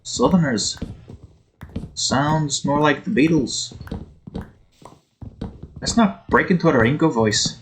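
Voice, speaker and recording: A man's voice: fairly high pitch, takes it slowly, long pauses, low-quality recording. A man hosting a game video with casual, rambling commentary in a put-on Scottish accent that wavers. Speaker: gaming video host. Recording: faint background noise.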